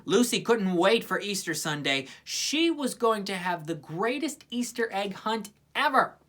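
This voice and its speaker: A woman's voice: mid-range voice, very animated, unhurried, fairly bright tone. A woman reading a children's story aloud with plenty of expression. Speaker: storybook reader.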